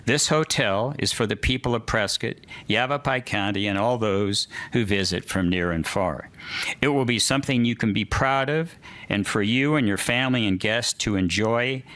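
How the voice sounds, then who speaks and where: A man's voice: lowish voice, a bit bright. A man presenting plans for a hotel to a city commission at a public meeting, reading from a prepared statement, listing points with a few fillers.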